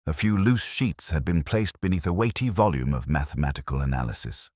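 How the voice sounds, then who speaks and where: A man narrating a scripted first-person detective story in a measured, solemn, literary manner. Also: a bass voice, expressive, a little mellow, dry recording, studio quality. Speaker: audio drama narrator.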